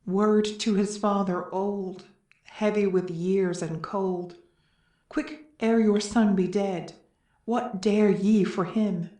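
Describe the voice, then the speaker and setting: A woman's voice: mid-range voice, fairly even intonation, slow, dark tone, close to an everyday voice. A woman playing a solemn, anxious chorus member in Greek verse tragedy, declaiming earnestly. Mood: mournful, pleading. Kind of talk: classical verse drama reading. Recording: echoey room.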